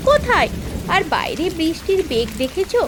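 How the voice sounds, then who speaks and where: A woman's voice: very high voice, huge pitch swings, normal speed, exaggerated voice. A woman voicing a fretful, scolding teenage village bride in a cartoon, fussing and questioning. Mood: doubtful. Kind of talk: cartoon voice acting. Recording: clear noise of rain behind.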